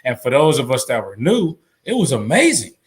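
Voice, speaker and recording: A man as a guest on a live talk show, giving advice in a relaxed storytelling way, full of "you know.". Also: medium pitch, brisk. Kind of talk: casual advice chat.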